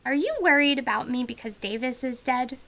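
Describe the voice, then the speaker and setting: A woman's voice: sits high, a little fast, pushed and bright. A woman voicing a bold, blunt little girl in a radio drama, speaking frankly. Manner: frank, chattery, plain-spoken.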